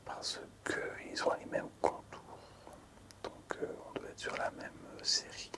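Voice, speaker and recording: A man speaks in a constant whisper, in a soft ASMR style. His delivery is unhurried and hesitant, with pauses between short phrases, like a creator quietly presenting things to his viewers. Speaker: video creator showing collectible cards.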